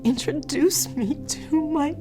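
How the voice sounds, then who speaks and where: A woman's voice: high voice, slow, warm tone, faint music behind. A woman playing a tender, tearful grandmother in an emotional audio drama scene. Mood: soothing.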